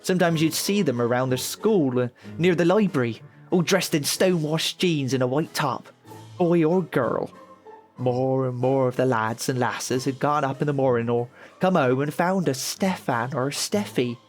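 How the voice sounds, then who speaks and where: A man's voice: mid-range voice, normal speed, soft background music, natural voice. A man playing a bitter, wounded teenage son in an audio drama, speaking in colloquial slang. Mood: brooding, narrating. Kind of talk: audio drama dialogue and monologue.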